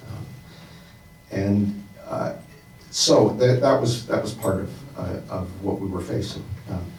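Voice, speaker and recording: Man, panelist, low mellow voice, halting with fillers, distant mic, echoey hall. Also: slight background noise. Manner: reflective, halting, many fillers. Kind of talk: panel discussion answer. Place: conference hall.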